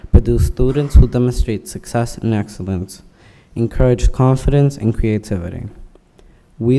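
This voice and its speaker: A man reading a petition aloud, slowly and haltingly, at a public school committee meeting. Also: on the low side, warm tone. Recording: reverberant hall, distant from mic.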